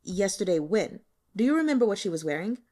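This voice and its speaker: Lady doing a pushy blunt cop guy in an audiobook, stern pointed questions, animated delivery. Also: mid-range voice, fast. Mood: probing. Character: blunt, probing male police investigator, stern and pressing. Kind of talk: audiobook character dialogue.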